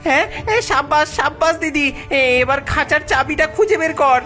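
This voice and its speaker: A plucky young boy from a cartoon fairy tale, voiced by a woman, talks in a high, bright voice. He is clever and eager, reassuring though a little halting. Faint music plays behind. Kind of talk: animated fairy-tale voice acting.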